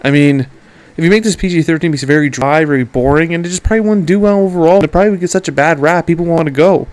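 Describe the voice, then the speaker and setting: A man's voice: on the high side, quick pace, darkish tone. A blunt, emphatic man with a Canadian accent giving opinionated film commentary as a podcast host, sometimes swearing.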